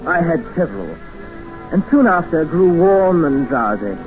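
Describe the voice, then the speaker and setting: An actor playing an educated English captive, narrating and speaking in an earnest, formal, dramatic 1950s radio style. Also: male voice, a little high, very animated, a little slow. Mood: grave, reflective. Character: desperate, educated male English captive expedition member, narrating. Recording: muffled old radio recording with music.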